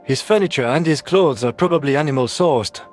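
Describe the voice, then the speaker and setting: A man's voice: a little high, expressive, a little fast, faint music behind, close to an everyday voice. A man voicing an earnest male four-legged alien doctor who explains things carefully in an audio story. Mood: grave, explaining.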